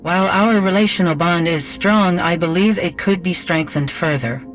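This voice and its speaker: A man's voice: sits high, moderate pace, a bit bright, faint music behind, voice pushed up. A man voicing an earnest, literal-minded female artificial person who speaks in blunt, formal, methodical phrases. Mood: earnest, reasoning.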